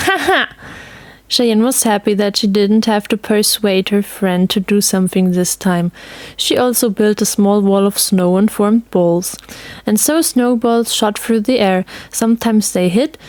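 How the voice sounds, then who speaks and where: A woman's voice: medium pitch, a little mellow, no room sound. A woman reading scripted narration for an audio story as its narrator.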